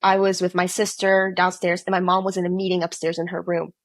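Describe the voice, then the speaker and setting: A teenage girl, an exchange student, telling her story in a casual interview, animated and quick to quote people. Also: fairly low pitch, no echo. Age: teens.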